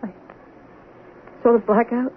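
A woman's voice: fairly high pitch, little pitch movement, unhurried, close to an everyday voice. A woman playing a troubled, hard-drinking American wife under suspicion, faltering and wounded in a radio drama. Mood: shaken, defensive. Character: defensive, troubled heavy-drinking wife suspected of murder. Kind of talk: 1970s radio drama acting. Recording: tinny, band-limited old radio broadcast with hiss.

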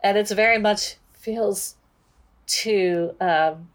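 A woman's voice: mid-range voice, very slow. A woman taking part in a casual, unscripted discussion about books. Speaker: book discussion podcast participant.

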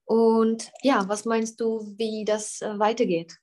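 A woman's voice: on the high side, little pitch movement, slow, darkish tone. A woman teaching German in an online lesson, patiently prompting and correcting her student with short acknowledgments. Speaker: language teacher.

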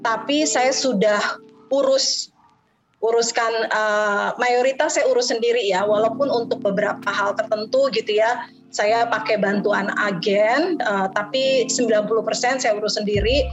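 A woman's voice: fairly high pitch, fairly even intonation, moderate pace, dull audio, music in the background. A woman in her thirties from Jakarta with a slight Betawi accent, talking casually as an interview guest and mixing in English words. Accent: Betawi-tinged Jakarta Indonesian. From Jakarta, Indonesia. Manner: chatty, mixes in English words, frequent fillers.

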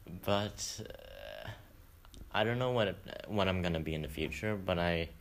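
A man's voice: deep voice, very animated, normal speed, creaky. A young man talking casually on a student podcast, hesitant and often laughing as he trails off. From Sweden. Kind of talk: casual chat.

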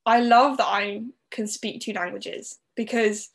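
A woman's voice: medium pitch, steady tone, unhurried, creaky. Chatty young woman, Southern English accent. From Netherlands. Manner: chatty, frequent "like" fillers. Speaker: guest. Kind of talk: podcast interview, personal story.

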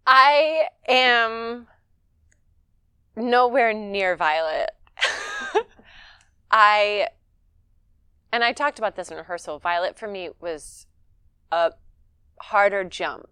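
Slow-talking low-voiced woman. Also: animated, bright, forceful tone, smooth, no room sound. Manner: unhurried, laughs often. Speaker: actress guest. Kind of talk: casual interview chat.

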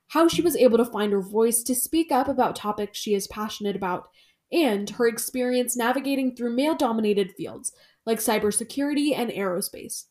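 A woman's voice: a little high, a little mellow. A woman hosting an interview podcast, reading a guest introduction and asking friendly questions. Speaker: podcast host.

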